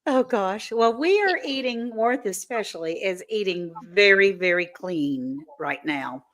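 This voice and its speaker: A woman's voice: mid-range voice, expressive, a little slow. Plain-spoken woman hosting a casual livestream. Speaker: livestream host. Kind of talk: casual chat.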